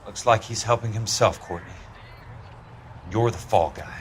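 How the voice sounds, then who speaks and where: An actor in a noir video game playing a tough, sardonic, principled ex-military investigator with wry, clipped delivery. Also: male voice, fairly low pitch, normal speed, slight background noise, lightly acted. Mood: stern, scornful. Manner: wry, hard-boiled, clipped.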